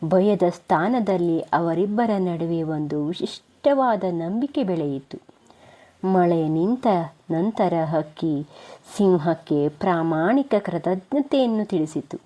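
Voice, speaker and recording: A woman's voice: on the low side, expressive, takes it slowly, dark tone. A woman narrating a moral story for children as a storyteller.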